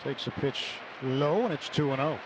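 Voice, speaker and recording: A man doing live baseball play-by-play on radio in measured phrases with clipped count calls. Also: medium pitch. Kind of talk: live radio sports commentary. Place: stadium broadcast booth. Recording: noisy live ballpark radio broadcast. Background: ballpark ambience.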